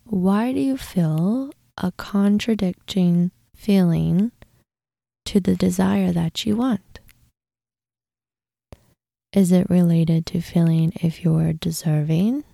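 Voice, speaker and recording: A woman leading a guided meditation in a gentle, unhurried manner, with breathy, hushed moments. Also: on the low side, no room sound, clean studio sound. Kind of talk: guided meditation, soothing instruction.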